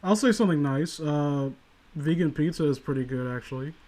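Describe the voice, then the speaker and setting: Sardonic man with a slightly high voice. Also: lively intonation, brisk, dark tone. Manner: sardonic, rambling, profane. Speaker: podcast co-host reading and mocking amateur horror stories. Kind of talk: casual comedic commentary.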